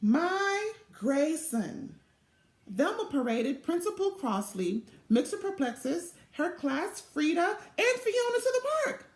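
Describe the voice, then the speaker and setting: Expressive high-pitched woman reading a storybook. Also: unhurried, hardly any pauses. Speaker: volunteer storybook reader. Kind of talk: read-aloud children's storybook narration. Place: small indoor room or home office. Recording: roomy, echoing home webcam recording.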